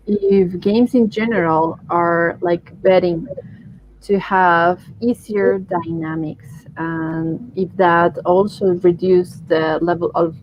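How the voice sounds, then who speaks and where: A woman moderating a session, reading out audience questions hesitantly with many fillers. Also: on the low side. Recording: dull lo-fi sound with faint noise.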